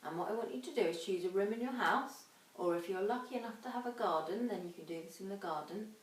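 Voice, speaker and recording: A woman, an infant teacher reading and talking to young children in a dramatic, emphatic way. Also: mid-range voice. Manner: dramatic, emphatic, drawn-out sound words. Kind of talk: expressive children's storybook reading and instruction. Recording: echoey room, distant microphone.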